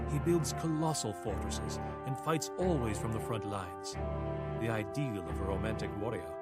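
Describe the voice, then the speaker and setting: A man playing a reflective Norman crusader knight, narrating a video game campaign in a measured, grave, formal way. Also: medium pitch, natural voice. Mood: grave, urgent. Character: captured Norman crusader narrating his years with Saladin, reflective, solemn. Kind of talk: scripted dramatic storytelling narration. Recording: voice over a clear music bed. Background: game cutscene music.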